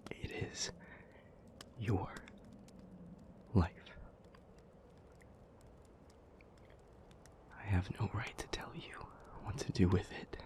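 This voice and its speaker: A man playing a weary, gentle demon in an intimate audio roleplay, speaking breathily and earnestly with halting pauses. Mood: resigned, tender. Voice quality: breathy, whispery, low. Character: weary, gentle male demon, homesick and protective.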